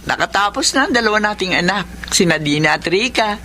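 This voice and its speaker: A woman's voice: medium pitch, lively intonation, brisk, bright, forceful tone. Humble mother, noisy recording. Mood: thankful. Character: humble, grateful, devout poor mother. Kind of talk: Filipino radio drama acting.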